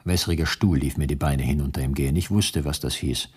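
A man's voice: rumbling low, fairly even intonation, a little fast, darkish tone, husky, heavily acted. An actor playing a male camp survivor who recounts his ordeal soberly and precisely in a radio drama monologue. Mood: bleak, sober.

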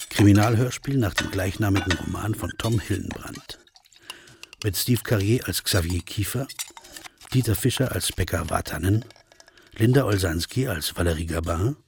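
A man with a very deep, creaky voice announces radio drama credits. He reads from a script in list form, with clearly audible noise and clatter behind him.

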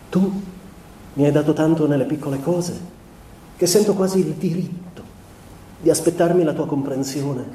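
A sensitive young husband makes a painful confession in a radio play monologue. His voice is mellow and a little high for a man, and he weighs each short phrase between pauses. The recording is very echoey, as if from across a room. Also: recorded from a distance, slight background noise. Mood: apologetic, pained. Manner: measured, deliberate, pausing between short phrases. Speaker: stage and radio actor. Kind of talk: literary radio theatre monologue.

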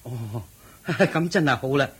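An actor playing an eager, admiring young lay disciple, deferential and naive, speaking Hong Kong Cantonese in a radio drama. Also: male voice, mid-range voice, expressive, slow pace, husky, heavily acted. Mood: curious, respectful.

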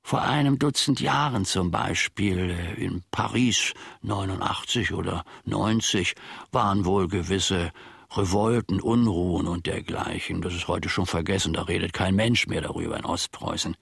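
An actor playing an aggrieved, self-important old manservant in a German radio play, grumbling and ruminating pompously in a gravelly, husky voice. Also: male voice, deep voice, moderate pace, fairly bright tone, dry recording, clean studio sound, exaggerated voice.

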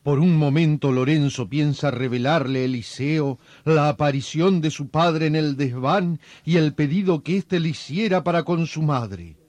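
An actor narrating a domestic radio melodrama as a wry, solemn storyteller with a measured delivery. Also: male voice, fairly high pitch, a little mellow, no echo, close to an everyday voice. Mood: wry, confiding. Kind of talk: scripted radio drama narration.

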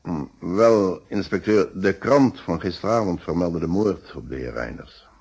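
Deep, husky, composed businessman. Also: lively intonation, a little slow, darkish tone, full character voice. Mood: composed, precise. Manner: polite, precise, self-assured. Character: composed, hospitable, logical married businessman being questioned. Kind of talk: Dutch radio play acting.

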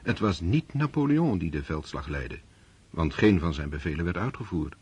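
A man acting as the sober narrator of a historical war story in a radio play, measured and reflective. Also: low-pitched, expressive, darkish tone, breathy edge, heavily acted.

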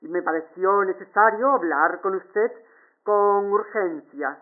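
A man's voice: high voice, takes it slowly, phone-quality sound. A man playing an uneasy, diplomatic Italian ambassador in an audio drama, hesitant, stammering and formal.

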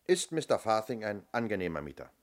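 An actor playing a courteous but persistent police inspector in a German radio play, questioning in a measured, official manner. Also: male voice, on the low side, big pitch swings. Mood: insistent.

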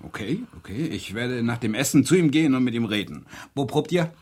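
Husky, wry male lecturer. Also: medium pitch, animated, fairly quick, darkish tone. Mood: mock official. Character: wry Swedish guest lecturer, helpful, slightly flustered. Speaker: university lecturer. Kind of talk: naturalistic radio drama dialogue.

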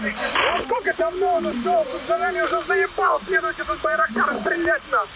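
A man's voice: extremely high pitch, wildly swooping pitch, normal speed. A man commanding a crew under fire, urgently repeating his calls over military radio traffic. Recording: radio intercept with loud static.

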